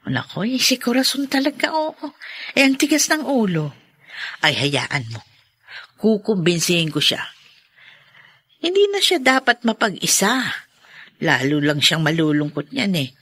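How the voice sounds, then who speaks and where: Concerned older female relative, radio drama: determined, forceful tone, lively pitch. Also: measured pace, long pauses, husky, heavily acted. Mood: concerned, fretful.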